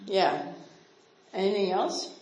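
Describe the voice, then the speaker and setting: A woman's voice: mid-range voice, takes it slowly, darkish tone. A woman from Canada, a missionary speaker, teaching a casual Bible lesson. She quizzes her audience and talks in a chatty way, with many "like" and "right?" asides. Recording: roomy echo.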